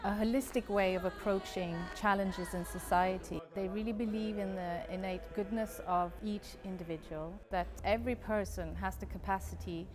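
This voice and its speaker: Woman in her 30s talking calm and clear on a lapel mic. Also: mid-range voice, moderate pace, few pauses. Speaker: foundation representative. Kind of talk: documentary interview.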